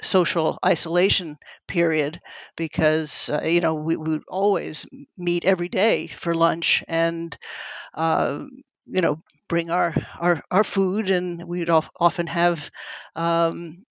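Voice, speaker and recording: A man's voice: a little high, flat delivery, vocal fry, a bit bright, dry recording. A middle-aged man whose first language is Italian, answering interview questions as a lab head and often restarting his sentences. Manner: halting, many restarts and repeated words.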